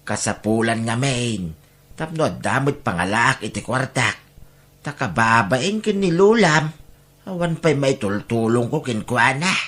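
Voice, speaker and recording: A man's voice: mid-range voice, expressive, unhurried, fairly bright tone. A man acting as a patient old grandfather with an Ilocano accent, counselling a child soothingly in an audio drama. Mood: patient, gentle.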